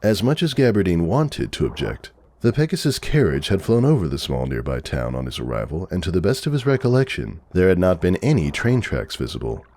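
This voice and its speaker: Deep, expressive male story narrator. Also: fairly quick. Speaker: narrator, fan fiction reader. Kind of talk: scripted dramatic story narration.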